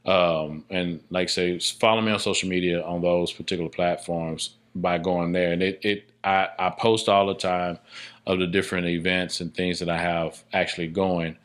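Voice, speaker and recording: A man's voice: low-pitched, flat delivery, normal speed. A man speaking casually as an interview guest, emphatic and often repeating his phrases. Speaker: podcast guest, filmmaker.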